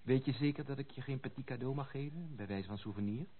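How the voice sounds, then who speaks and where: An actor playing a courteous, gallant young gentleman in a Dutch radio play, politely teasing and gently reproving. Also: mid-range voice, quick pace, lightly acted. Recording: tinny, band-limited, noisy old radio recording.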